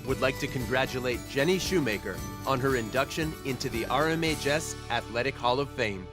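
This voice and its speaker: A man reading a scripted tribute narration in a measured, formal way. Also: mid-range voice. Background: music.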